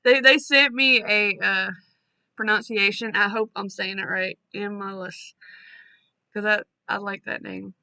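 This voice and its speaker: A woman hosting a storytelling channel, reading listener stories aloud in a casual way and breaking off for quick asides. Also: medium pitch, steady tone, creaky, pushed and bright, clean tone, no room sound.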